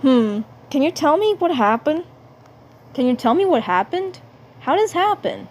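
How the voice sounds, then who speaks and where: A high-voiced little cartoon alien guy , played by a woman, eager and kindly. He sounds earnest and keen to help, recorded as a fandub with clear background noise. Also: very animated, measured pace. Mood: concerned. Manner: earnest, solicitous.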